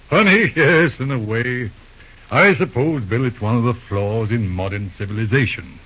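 An actor playing a patient, shrewd older bachelor lawyer uncle in an old-time radio drama, reasoning in an avuncular, hemming and hawing way. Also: male voice, medium pitch, moderate pace, husky, lightly acted. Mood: wry, prodding. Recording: muffled old radio show recording.

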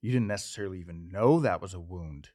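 Deep-voiced man hosting, dry close sound. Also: quick pace, warm tone, no room sound. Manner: affirming, frequent "mm-hmm" and "yeah", asks follow-up questions. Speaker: podcast host. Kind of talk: interviewing on a faith podcast.

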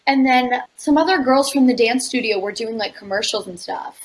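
A raspy-voiced young woman in her late teens, a young actress answering interview questions with gushing enthusiasm. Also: on the high side, moderate pace, rough recording. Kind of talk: casual interview.

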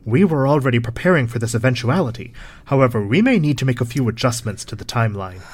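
A mid-pitched, grave male voice. a resolute leader of a moonbase resistance, speaking formally and with measured eloquence, in audio drama style. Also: lively intonation, husky. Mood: grave resolve.

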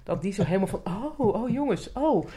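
A woman's voice: medium pitch, expressive, brisk, dark tone, slightly rough. A woman co-hosting a podcast, chatting casually in an inquisitive, conversational way. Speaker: podcast co-host. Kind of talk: casual chat.

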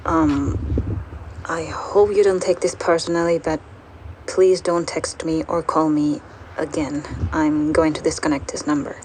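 A woman's voice: low voice, level tone, a little slow, a little mellow. An actress playing a withdrawn young woman leaving a curt farewell message in an audio drama, recorded as a noisy voicemail. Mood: detached, uneasy.

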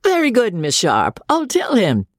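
A man's voice: medium pitch, wildly swooping pitch, measured pace, darkish tone, slightly rough, dry recording, studio quality, full character voice. A man voicing a young army officer who is arrogant and mocking, talking in laughing banter.

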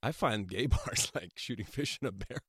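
A man on a talk show panel bantering casually, giving halting and evasive answers about himself. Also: on the low side, lively intonation, a bit bright, husky, dry recording, studio quality. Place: radio studio. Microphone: close studio microphone.

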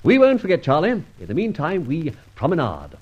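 An actor playing a suave, flippant gentleman highwayman in an old radio adventure serial, urbane and mock-formal. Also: wildly swooping pitch, a little fast, breathy edge, full character voice. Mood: jaunty. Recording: dull old radio transcription recording.

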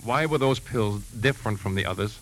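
An actor in a 1950s radio drama playing a grave, troubled man, with brooding first-person narration and measured, earnest dialogue. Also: fairly low pitch, fairly bright tone, slight background noise, poor audio. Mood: grave, pressing. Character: grave, troubled man narrating his plan to trap a poisoner. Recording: 1950s radio broadcast with music behind.

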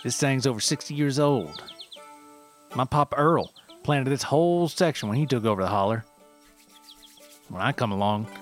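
A man's voice: medium pitch, pitched above the performer's own voice, lightly acted. Man voicing a folksy mountain forager. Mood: proud, nostalgic. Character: proud, wary male Appalachian ginseng forager guarding his mountain.

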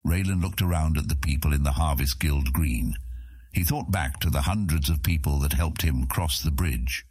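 A man's voice: rumbling low, normal speed, a little mellow, husky, dry recording, studio quality. A man reading scripted fantasy narration as an audiobook narrator.

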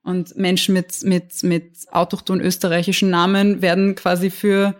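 Candid young woman, halting speech. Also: on the low side, flat delivery. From Austria. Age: young adult. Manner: candid, many restarts and repeated words. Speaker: guest, lawyer. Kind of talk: casual interview conversation.

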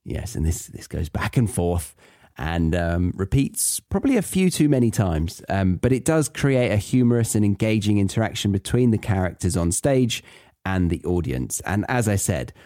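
Deep, mellow British man, lively intonation. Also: normal speed, dry recording, studio quality. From Britain. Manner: chatty, explanatory. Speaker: podcast host teaching English learners. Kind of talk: casual solo podcast talk.

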